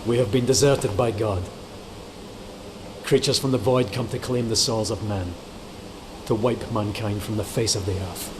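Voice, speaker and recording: An actor playing a grieving holy warrior in a fantasy game, speaking in an earnest, grave and formal manner. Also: male voice, lowish voice, unhurried, long pauses, lightly acted. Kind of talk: dramatic video game acting. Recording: clear steady background hiss.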